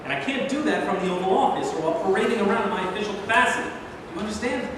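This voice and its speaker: A man's voice: sits high, rapid-fire, far from the mic, natural voice. A man playing a genial, commanding president who is out incognito, in a staged reading recorded from afar in an echoey, noisy hall. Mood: commanding, assured.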